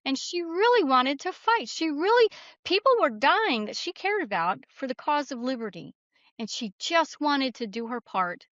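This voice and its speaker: A woman's voice: high-pitched, big pitch swings, normal speed, fairly bright tone, dry recording, dull audio. A woman speaking as a guest author in an interview, telling stories in an earnest, explanatory, conversational way. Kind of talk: conversational interview answers, storytelling.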